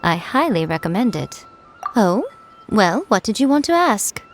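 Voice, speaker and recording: A woman's voice: medium pitch, big pitch swings, measured pace. A woman playing a sly, elegant fox shrine maiden in an anime game, coy, nonchalant and teasing. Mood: coy, amused. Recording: music behind the voice.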